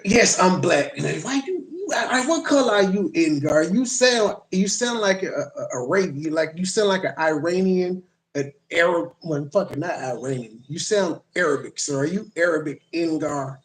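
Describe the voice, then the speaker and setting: A man's voice: a little high, animated, normal speed, echoey room. A man hosting a livestream, rambling and profane as he chats with viewers and reacts to clips. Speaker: livestream host. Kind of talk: casual live commentary.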